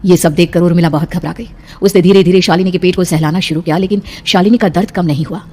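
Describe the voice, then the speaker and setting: A woman's voice: lowish voice, fairly even intonation, fast talker, mellow, a little hiss or hum. A woman narrating a scripted horror cartoon story in a dramatic storytelling style.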